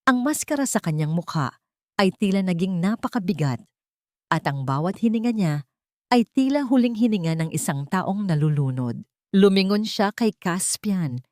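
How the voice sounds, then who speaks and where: A woman's voice: mid-range voice, dry recording, studio quality. A woman reading a scripted story as a solemn, melodramatic audiobook narrator.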